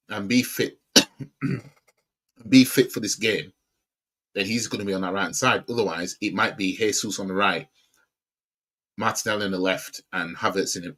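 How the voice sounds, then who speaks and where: Man, Yorkshire accent, fairly low voice, blunt slangy football chat, streaming desk mic. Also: a bit bright. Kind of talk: casual match preview chat.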